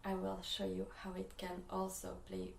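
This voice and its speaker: A woman's voice: mid-range voice, monotone, normal speed, gravelly. A woman from Switzerland, a clarinetist, introducing her instrument to children with friendly hesitations. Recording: distant, roomy, faint noise.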